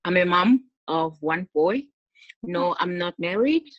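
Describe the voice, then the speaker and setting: Low-voiced South African woman, chatty. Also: expressive, a little slow, dry recording, dull audio. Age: 30s. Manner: chatty, laughs often, many restarts. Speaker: interview guest, gospel singer. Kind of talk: casual interview over video call. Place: small room at home. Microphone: laptop webcam on a video call.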